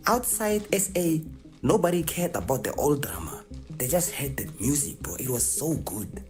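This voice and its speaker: A woman's voice: sits low, very animated, normal speed, music in the background. A woman narrating a video script in a punchy, slangy storytelling way.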